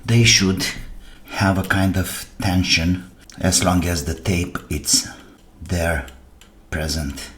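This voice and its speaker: Deep-voiced man, unhurried repair talk. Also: fairly even intonation, a bit bright. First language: non-native English speaker. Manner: halting, thinking aloud while working. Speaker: repair channel host. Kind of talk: casual hands-on repair commentary.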